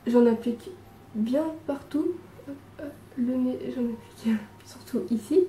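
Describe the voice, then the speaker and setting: Hoarse young woman with a cold. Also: fairly high pitch, warm tone, far from the mic, a little hiss or hum. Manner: hesitant, many pauses and restarts. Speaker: beauty vlogger. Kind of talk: makeup tutorial. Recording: echoey room, away from the mic.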